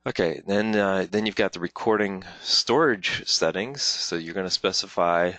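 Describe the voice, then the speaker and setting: Male webinar trainer, very deep voice, dry sound, informal and halting step-by-step tutorial delivery. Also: a bit bright, dry recording. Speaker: webinar presenter, product trainer. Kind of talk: informal software tutorial, live demonstration walkthrough.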